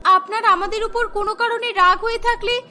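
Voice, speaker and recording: A woman's voice: very high-pitched, steady tone, a little fast, pushed and bright, exaggerated voice. A woman playing a wronged young daughter-in-law in a cartoon melodrama, plaintive and protesting. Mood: hurt. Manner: pleading, protesting. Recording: music bed behind the voice.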